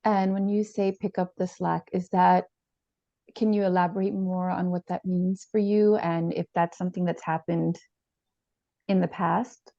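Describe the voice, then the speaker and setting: An actress playing a therapist, asking measured, gentle questions. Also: female voice, lowish voice, little pitch movement, vocal fry, no room sound, highs cut off. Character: calm, probing female therapist. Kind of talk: improvised dramatized therapy session.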